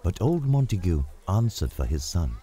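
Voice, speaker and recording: A man narrating a scripted story with dramatic, deliberate enunciation. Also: low voice, sing-song, warm tone, slightly rough.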